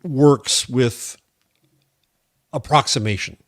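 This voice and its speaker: A man's voice: medium pitch, takes it slowly, no echo, clean studio sound. A man with an American accent co-hosting a tech podcast, casually reasoning aloud and offering his opinions. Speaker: podcast co-host.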